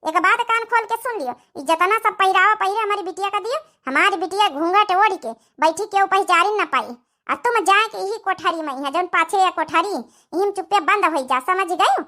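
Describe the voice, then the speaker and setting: A woman voicing a sly, threatening middle-aged stepmother in an Awadhi comedy cartoon, bossy and forceful, with an Awadhi accent. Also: extremely high pitch, moderate pace.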